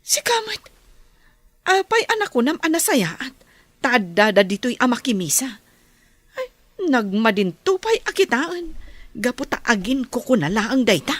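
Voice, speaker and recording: Female, Ilocano radio drama: actress as spiteful village gossip, high swooping voice, snide and irritable. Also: big pitch swings, unhurried, long pauses, no echo. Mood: irritated, sneering.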